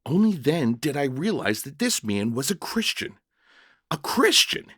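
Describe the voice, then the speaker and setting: A man's voice: a little high, sing-song, measured pace, fairly bright tone, breathy edge, no room sound, clean studio sound, heavily acted. A man playing a bewildered, earnest jailer who tells his story in the first person with dramatic, stammering emotion. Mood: baffled, questioning. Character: young-hearted jailer narrator, bewildered, earnest, emotional father.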